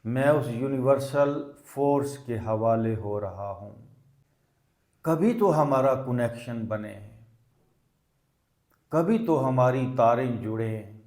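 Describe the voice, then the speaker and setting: A man leading a guided meditation as a teacher, speaking in deliberate short phrases with long pauses. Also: mid-range voice, a little mellow. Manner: mixes English words into Hindi. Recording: echoey, reverberant room sound.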